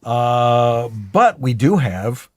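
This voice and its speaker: A man co-hosting a talk show with a husky voice, chatting in a loose, rambling way. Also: medium pitch, very animated, drawn-out words, no room sound. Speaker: co-host. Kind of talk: casual show chat.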